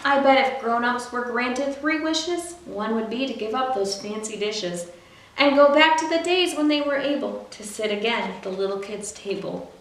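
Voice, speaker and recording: A woman reading a rhyming picture book aloud for young children in an expressive storyteller's delivery. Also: fairly high pitch, moderate pace. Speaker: library storyteller. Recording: very echoey room, distant mic, faint noise.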